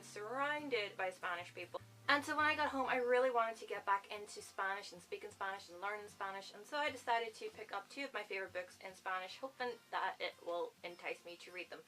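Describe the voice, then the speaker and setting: A young woman, chatting to camera as a book vlogger, rambling and excitable and laughing at herself. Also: medium pitch, poor audio. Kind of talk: casual chat to camera.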